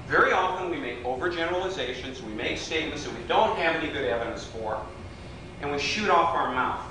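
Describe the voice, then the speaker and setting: A man's voice: on the high side, animated, brisk, bright, forceful tone, a little hiss or hum. A man leading a live workshop, telling jokes and teasing people in the room. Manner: playful storyteller, teases audience members. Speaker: workshop leader. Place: large hotel meeting room. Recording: echoey room recorded from far off.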